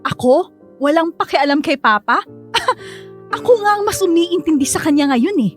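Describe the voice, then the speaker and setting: A woman's voice: sits high, lively intonation, normal speed, faint music behind. A woman acting in a radio drama as a feisty, supportive young daughter. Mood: indignant.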